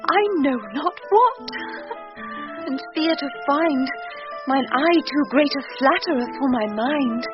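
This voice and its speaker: An actress playing a proud, lovestruck young noblewoman in a classical Shakespearean radio play, speaking measured, theatrical verse. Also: high-pitched, animated, music in the background. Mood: anxious, smitten. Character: proud lovestruck young noblewoman, imperious yet secretly smitten. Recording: muffled 1990s radio drama recording.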